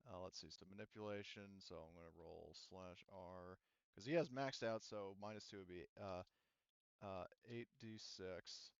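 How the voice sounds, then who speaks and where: Low, smooth-voiced man running a game. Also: normal speed, muffled recording. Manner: rambling, jokey, acts out characters in quotes. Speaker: game master. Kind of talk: casual, sweary online tabletop game narration.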